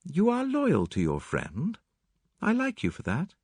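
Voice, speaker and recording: A cool upper-class lady, as a male reader plays her, lifted in pitch. She sounds poised, wry and quietly teasing, in a dry audiobook recording. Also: wildly swooping pitch, a little slow, husky, dry recording, heavily acted. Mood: cool, candid. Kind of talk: dramatised audiobook reading.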